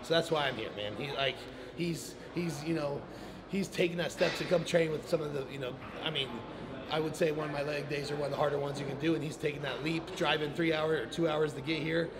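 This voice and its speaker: A young man coaching a leg workout in a large indoor gym, explaining technique in a quick, encouraging way. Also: a little high, steady tone, crowd noise. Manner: encouraging, instructive, quick-talking. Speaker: bodybuilding coach. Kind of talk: casual gym coaching talk. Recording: gym ambience noise behind.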